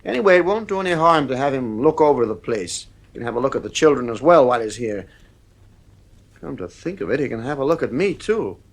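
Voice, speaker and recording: A stern, worried father in a vintage radio drama. His medium-pitched voice is firm and clipped, with big swings of intonation. He sounds exasperated and authoritative, a middle-aged man weary of his household. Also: animated, fairly quick. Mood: worried, irritated. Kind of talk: 1950s radio drama acting.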